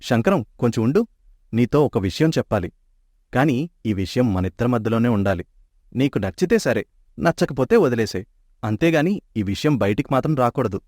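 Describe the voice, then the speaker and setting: An actor voicing a cheeky young man in an animated story, who talks playfully and persuasively. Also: mid-range voice, no echo, close to an everyday voice. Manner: playful, quick-tongued and persuasive. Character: cheeky young man, bickering childhood friend. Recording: dry close studio mic.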